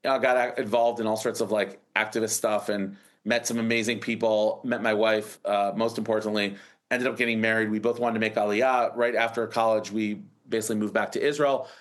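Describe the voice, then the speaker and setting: A man's voice: lowish voice, little pitch movement, a little fast, fairly bright tone. An American man in his forties, a startup founder telling his story in an interview, rambling with many restarts and fillers. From United States.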